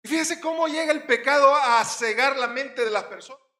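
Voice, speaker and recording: A male pastor with a Puerto Rican accent preaching a sermon, swinging between loud stressed words and quiet drops. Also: very high-pitched, moderate pace, echoey room.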